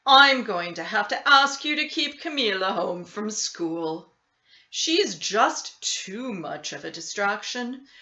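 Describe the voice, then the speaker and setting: A woman's voice: medium pitch, pushed and bright, dull audio, exaggerated voice. A woman voicing an apologetic male school principal in a children's storybook, speaking formally and carefully. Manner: measured, formal.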